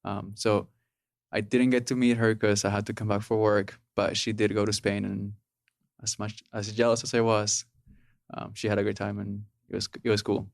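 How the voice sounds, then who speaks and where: A man's voice: fairly low pitch, level tone, moderate pace, creaky, no echo, studio quality. A man in his thirties from Peru, a podcast guest talking casually and hesitantly with many ums and restarts. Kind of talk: casual interview.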